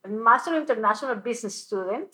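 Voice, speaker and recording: A woman's voice: fairly high pitch, lively intonation, quick pace, pushed and bright. Laughing woman guest. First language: Spanish. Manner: laughs often, talks with restarts. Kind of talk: casual interview chat.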